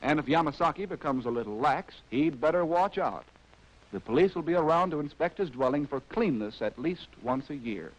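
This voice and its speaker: Animated American man, vintage newsreel-style narrator. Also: fairly high pitch, a little fast, rough recording. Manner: wry, arch, theatrical phrasing. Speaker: narrator of a military training film. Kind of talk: scripted 1940s documentary narration. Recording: vintage 1940s film soundtrack.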